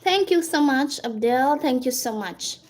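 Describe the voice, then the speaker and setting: A Kenyan woman with a notably high voice, talking loosely and informally as she hosts a livestream. She reads viewers' comments aloud, answers them in a chatty way, and keeps thanking people by name. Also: expressive, measured pace, darkish tone. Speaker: livestream host. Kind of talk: casual livestream chat.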